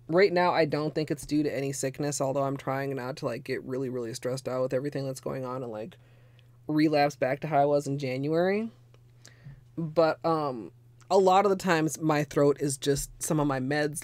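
Hoarse, chatty young woman. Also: sits low, darkish tone, no room sound. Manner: chatty, rambling, many "like" fillers. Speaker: nail art livestreamer. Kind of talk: casual livestream tutorial. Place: small home room with space heater.

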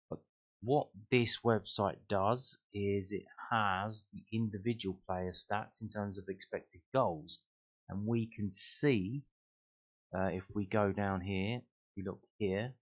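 A man's voice: on the low side, lo-fi sound. A man talks casually through football stats on screen, thinking aloud with fillers and wry asides. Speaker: fan channel host. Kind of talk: casual screen-share commentary.

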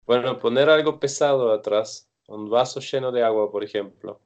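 A man in his thirties counseling a caller over a video call, with calm, brief, encouraging replies. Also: mid-range voice, normal speed. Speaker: host. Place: small room indoors. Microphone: laptop webcam on a video call. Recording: dull lo-fi video call audio.

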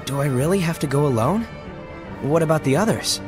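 An actor playing an earnest, uncertain apprentice in a game cutscene, hesitant and questioning. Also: male voice, a little high, lively intonation, fairly quick, music in the background, close to an everyday voice. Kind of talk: animated game cutscene dialogue.

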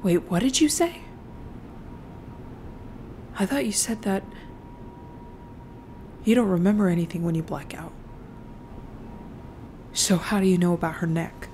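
A woman plays a male defense lawyer in a film drama, suspicious and subdued. The voice is on the low side and mellow. Faint rumbling noise runs behind it. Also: measured pace, pauses often, close to an everyday voice. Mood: stunned, suspicious. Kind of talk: dramatic film scene performance.

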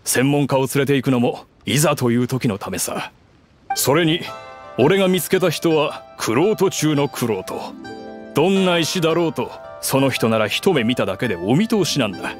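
A man's voice: medium pitch, expressive, moderate pace, close to an everyday voice. Man playing a plain-spoken, confident working uncle. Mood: admiring. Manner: plain-spoken, casual, assured. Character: gruff, confident middle-aged working man giving a commission. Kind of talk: Japanese anime game voice acting. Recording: faint music behind the voice.

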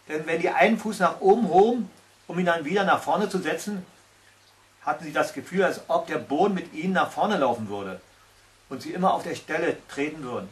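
An older man tells a fairy tale, reading it aloud. His voice sits a little high for a man, and he is recorded in a small room. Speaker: storyteller. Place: small indoor room by a tiled stove.

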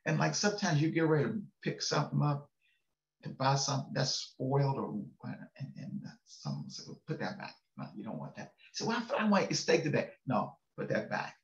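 A man's voice: on the high side, sing-song, a little fast. An older male pastor giving a casual, folksy devotional sermon from his home study, chuckling and checking in with listeners. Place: home study with bookshelves, indoors. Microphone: webcam on a video call. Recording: echoey room, slightly dull webcam sound.

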